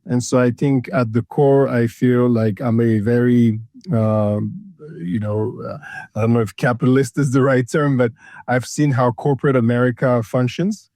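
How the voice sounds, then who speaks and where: A man's voice: mid-range voice, fairly even intonation, moderate pace, mellow, no echo. A man from Ethiopia, a consulting firm founder, talking in an interview, hesitant and full of fillers and repeated words. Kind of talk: conversational interview.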